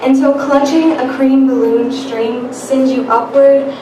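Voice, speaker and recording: A quiet-voiced woman reading her poem aloud at a live reading night. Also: high voice, fairly even intonation, a little slow. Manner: quiet, soft-spoken. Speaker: student poet. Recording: echoey room, far from the mic, noisy.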